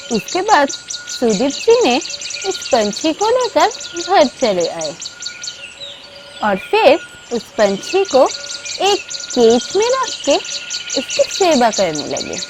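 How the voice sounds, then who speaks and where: A woman's voice: sits high, wildly swooping pitch, slow pace, over music. A woman narrating a scripted children's story as a storyteller. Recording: loud birdsong and ambience behind.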